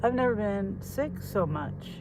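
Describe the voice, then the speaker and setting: An older woman talks to her viewers in a loose, rambling way, stopping and restarting her thoughts as she goes. She sounds a bit congested from a head cold, and her voice sits at an ordinary middle pitch. A faint hum of noise runs behind her. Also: lively intonation, unhurried. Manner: rambling, thinks aloud, restarts sentences. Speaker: vlogger. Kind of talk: casual rambling chat to camera.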